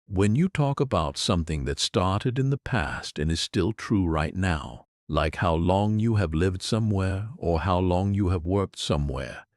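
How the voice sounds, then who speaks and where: A man with a very low voice teaches an English lesson. His pitch rises and falls freely as he explains each point patiently and clearly, and the sound is close and dry with no room echo. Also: animated, unhurried, hardly any pauses. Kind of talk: scripted lesson to the camera. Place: in Europe.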